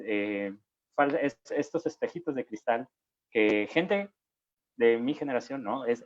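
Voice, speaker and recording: Young man, online panelist, halting delivery, muffled dry call audio. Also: medium pitch, no room sound. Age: young adult. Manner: hesitant, many fillers and restarts. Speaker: panelist, young political activist. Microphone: video call.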